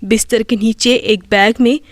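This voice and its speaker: Woman voicing a gentle mother's spirit, pitched down. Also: steady tone, moderate pace, no echo, studio quality, lightly acted. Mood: tender, reassuring. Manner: tender, reassuring.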